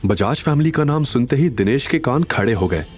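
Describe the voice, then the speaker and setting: A man's voice: mid-range voice, lively intonation, a little fast. A man narrating a scripted audio drama in a dramatic storytelling style. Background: background music.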